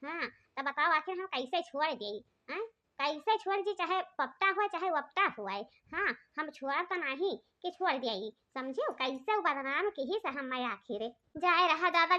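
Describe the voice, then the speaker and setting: Very shrill, bright village woman voiced by an actress. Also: normal speed, heavily acted. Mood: aggrieved. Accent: eastern Uttar Pradesh. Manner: protesting, repetitive, excitable. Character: defensive, squabbling married village woman. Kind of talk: comic village cartoon dialogue. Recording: dull lo-fi audio.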